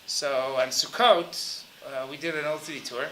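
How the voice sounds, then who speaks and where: A man's voice: a little high, steady tone, normal speed, a bit bright, echoey room, a little hiss or hum, low-quality recording. A man giving an earnest, informal introduction to a guest speaker for students, with frequent fillers.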